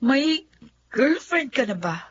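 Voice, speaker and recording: A probing suspicious friend. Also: female voice, sits high, big pitch swings, slow, a bit bright, a little hiss or hum, full character voice. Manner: probing, teasing. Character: suspicious close female friend. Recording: slightly muffled radio drama.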